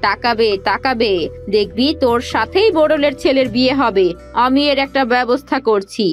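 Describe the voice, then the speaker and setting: A woman's voice: on the high side, normal speed, faint music behind, natural voice. A woman playing a scheming, bossy village sister-in-law in a cartoon fairy tale, scolding and giving orders. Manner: scolding, commanding.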